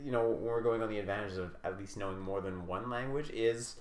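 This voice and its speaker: A man's voice: on the low side, a little fast, a little mellow, echoey room. A man from Quebec co-hosting a podcast, chatting casually and hesitantly with many fillers. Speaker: podcast co-host. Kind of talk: casual chat.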